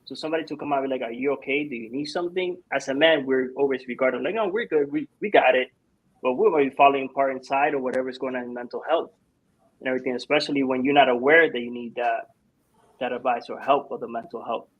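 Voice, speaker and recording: A man's voice: medium pitch, fast, dull audio. A man on a discussion panel, speaking candidly and from the heart.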